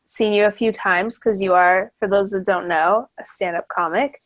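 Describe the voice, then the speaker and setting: A woman's voice: mid-range voice, fairly even intonation, normal speed, poor audio. Chatty young woman podcast host. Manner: chatty, many fillers and restarts, laughs easily. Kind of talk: casual interview chat.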